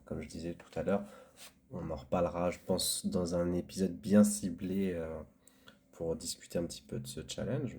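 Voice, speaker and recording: Low-voiced, relaxed man. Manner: relaxed, agreeing, loose with fillers. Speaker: podcast co-host. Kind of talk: casual chat.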